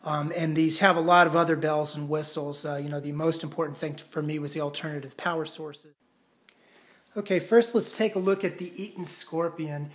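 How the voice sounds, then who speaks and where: A man's voice: a little high, steady tone, normal speed, fairly bright tone. Man casually demonstrating a product, hesitant. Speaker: product reviewer.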